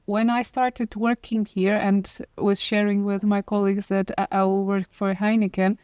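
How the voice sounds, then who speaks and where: A woman's voice: medium pitch, flat delivery, moderate pace, a little mellow, clean tone, no room sound, highs cut off. A woman from Poland on a company podcast panel, talking with many hesitations and restarts. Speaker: employee ambassador.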